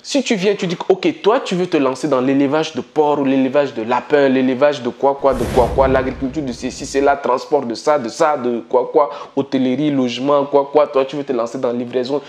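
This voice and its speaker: A man's voice: steady tone, brisk, hardly any pauses, darkish tone. A male entrepreneur giving an emphatic motivational business talk to camera, full of repetition and rhetorical questions to viewers. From Africa. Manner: swings between high emphatic bursts and low asides. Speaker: entrepreneur and channel host.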